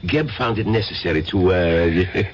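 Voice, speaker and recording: A man's voice: low-pitched, unhurried. A man playing a suave, menacing foreign crime boss in a radio drama, with a mocking foreign-sounding accent. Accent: French-sounding foreign. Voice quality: gravelly, smooth and mocking. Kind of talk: 1970s radio drama acting. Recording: dull vintage radio broadcast, faint hiss.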